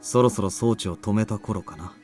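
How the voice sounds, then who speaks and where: A man's voice: fairly low pitch, fast talker, a little mellow, natural voice. A man playing a cool-headed young male student ally in a Japanese visual novel. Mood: expectant. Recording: faint game music behind.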